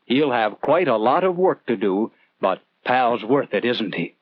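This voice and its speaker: A man's voice: medium pitch, big pitch swings, a little slow, no room sound, lo-fi sound. A man narrating a scripted 1940s instructional film in an earnest, conversational storytelling manner, putting questions to the viewer, on an old film soundtrack.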